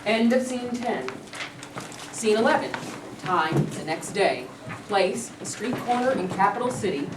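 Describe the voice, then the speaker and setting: A woman's voice: medium pitch, expressive, unhurried. A woman reading stage directions as scripted narration for a play. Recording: echoey room, distant mic, clear noise.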